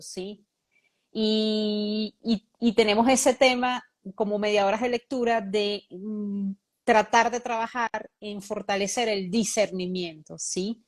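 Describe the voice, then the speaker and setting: A woman hosting an interview, asking long questions in a thoughtful, measured way and pausing to search for words. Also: mid-range voice. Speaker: host, reading mediator.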